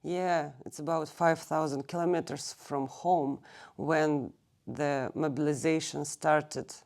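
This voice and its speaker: A woman in her thirties from Russia speaks slowly in a low, mellow voice. She is a native Russian speaker and often pauses to find the right English word. She is being interviewed in a library. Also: fairly even intonation. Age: 30s. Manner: halting, searching for English words. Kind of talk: recorded oral history interview. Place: public library.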